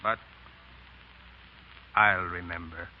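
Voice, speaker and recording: An actor playing a frank, principled middle-aged French painter, speaking with earnest reproach in the manner of 1930s radio drama. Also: male voice, deep voice, steady tone, a little hiss or hum. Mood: earnest, regretful. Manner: earnest, reproachful, deliberate phrasing. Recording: tinny, narrow 1930s radio broadcast.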